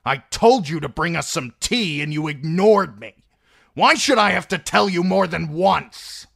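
A man's voice: on the high side, animated, measured pace, gravelly, no echo, clean studio sound, pitched above the performer's own voice, heavily acted. Browd, a furious young cave man, as a male reader puts him on. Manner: angry, demanding shout. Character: hot-tempered young cave man, arrogant.

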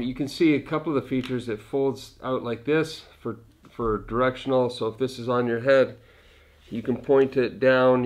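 A man's voice: medium pitch, gravelly. A man in his fifties giving a casual product demonstration to camera, indoors. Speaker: product presenter. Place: living room of a log cabin, indoors.

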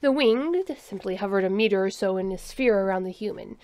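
A woman's voice: mid-range voice, brisk, very noisy. A woman narrating a scripted story as an audio narrator.

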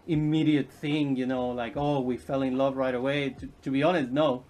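A man in his thirties from Chile, a podcast guest chatting casually and haltingly, with many ums and restarts, recorded from a distance in a living room. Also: mid-range voice, little pitch movement, a little mellow, slight background noise. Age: 30s. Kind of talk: casual interview chat. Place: indoors, home living room.

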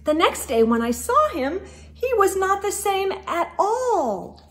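A middle-aged woman hosting a children's storytime, reading a picture book aloud in an expressive, sing-song way and talking directly to her young listeners. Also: very high-pitched, slow. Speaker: host of children's storytime show. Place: indoors in a room lined with bookshelves.

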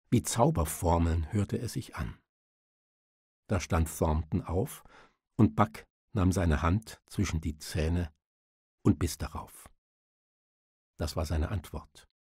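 A man narrates an audiobook with dramatic, literary delivery. His voice is low, mellow and husky, recorded close and dry. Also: no echo.

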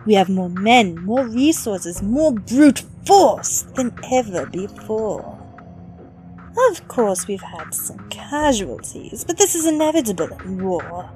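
A woman playing a cold, ruthless war commander of a crime clan, measured and formal, in an audio comic drama. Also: medium pitch, a little mellow.

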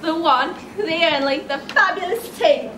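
A woman's voice: extremely high pitch, measured pace. A woman playing an eager sergeant who narrates a stage pantomime to children in a playful, announcer-like way. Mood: showy, excited. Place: theatre stage, filmed from the audience. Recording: noisy, echoey theatre recording.

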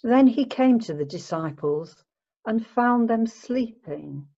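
A woman narrating a dramatic scripture reading in a measured way. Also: fairly high pitch, animated, mellow. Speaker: narrator, church member. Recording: dull lo-fi home recording.

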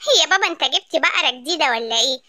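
A woman's voice: high voice, animated, rapid-fire, pushed and bright, no room sound, studio quality. A woman voicing a naive, plaintive young male animal in a children's story, with an Egyptian accent. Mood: curious, uneasy. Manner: calls out repeatedly, plaintive.

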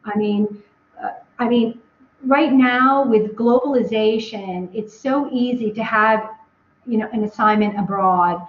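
A woman's voice: on the high side, a little slow. An American woman answering questions as a webinar guest from home, rambling with many ums and restarts. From United States. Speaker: guest speaker. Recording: echoey, dull video call audio.

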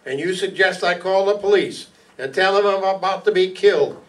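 An actor playing a skeptical, indignant lawyer in a radio noir, stiff and formal, recorded in a hall. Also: male voice, high voice, moderate pace, fairly bright tone. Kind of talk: 1950s radio noir acting. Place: stage in an indoor meeting hall, live reading. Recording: distant mic in a hall.

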